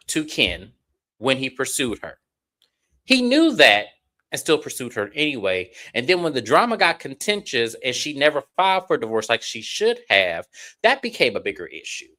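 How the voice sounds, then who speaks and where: A man hosting a live stream, chatting casually to viewers as he recaps a reality show episode. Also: mid-range voice. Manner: chatty, animated, addresses viewers directly. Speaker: host.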